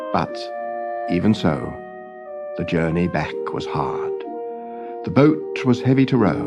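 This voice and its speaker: A man reading a scripted children's story in a measured, expressive storytelling manner. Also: low voice.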